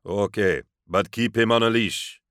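A man's voice: on the low side, a little slow, fairly bright tone, no room sound. A big male lawman from a sci-fi audiobook, as a male narrator plays him. He is grizzled, menacing and commanding, with clipped orders in a dry, close sound. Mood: gruff, suspicious. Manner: curt, commanding. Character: big, grizzled male armed security enforcer, curt and menacing.

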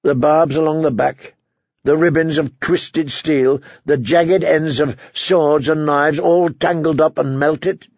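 A man voicing a stern, bitter, unbending king in an audiobook, gravelly and husky, with a commanding, humorless manner. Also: fairly high pitch, fairly even intonation, slow, darkish tone, no room sound, muffled recording, pitched above the performer's own voice. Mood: bitter, brooding.

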